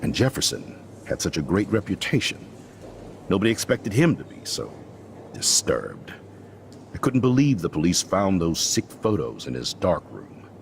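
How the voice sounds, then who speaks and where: Casting: jovial middle-aged school principal for a narrative game. Bass register, gravel, lively intonation, mildly self-important. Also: male voice, moderate pace, slight background noise, exaggerated voice. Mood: earnest, regretful. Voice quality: very deep, gravelly. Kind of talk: video game dialogue.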